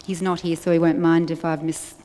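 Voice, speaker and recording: A woman's voice: sits low, little pitch movement, fairly quick, warm tone. Woman announcing winners. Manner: reads out winners and prompts applause. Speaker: contest presenter. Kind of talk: stage announcement. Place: arts centre stage. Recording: long hall reverb with faint crowd.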